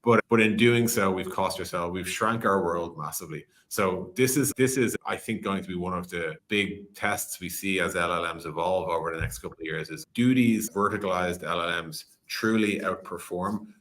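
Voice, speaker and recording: Deep-voiced, bright and forceful man explaining ideas. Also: normal speed, hardly any pauses, slight background noise. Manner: animated explainer, uses analogies and quoted examples. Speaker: podcast guest, tech company co-founder. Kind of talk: conversational tech interview.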